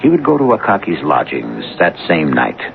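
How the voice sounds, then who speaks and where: A man hosting and narrating a 1970s radio drama in a measured, theatrical, ironic manner. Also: a bass voice, wildly swooping pitch, vocal fry, heavy background noise. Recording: tinny old radio broadcast recording. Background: music.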